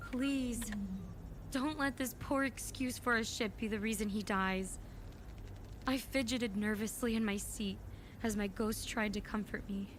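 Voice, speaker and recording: A woman's voice: on the high side, background noise, close to an everyday voice. A woman playing a desperate, hot-tempered young female pilot in a fan-made audio drama. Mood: anxious, subdued narrating. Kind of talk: fan audio drama acting, first-person narration and dialogue.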